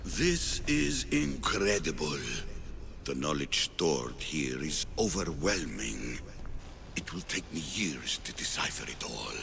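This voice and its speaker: A man's voice: rumbling low, big pitch swings, slow, creaky, sharp tone, a little hiss or hum, heavily acted. An actor playing a proud, knowledge-obsessed old male philosopher in a video game cutscene, grand and intense. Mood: awed. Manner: grand, intense, scornful outbursts.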